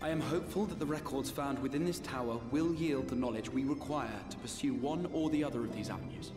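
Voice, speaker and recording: A man's voice: medium pitch, close to an everyday voice. Man as an earnest fantasy leader, echoey. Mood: earnest, resolute. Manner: formal, measured, sincere. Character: earnest, courteous, learned male fantasy leader. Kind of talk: scripted video game voice acting. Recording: echoey reverb under game music.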